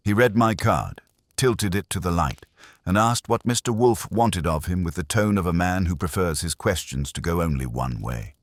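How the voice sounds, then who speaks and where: A man narrates a first-person detective story in a wry, hardboiled way. His voice is very deep and varied in pitch, and the recording is close and dry. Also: animated, normal speed, dry recording.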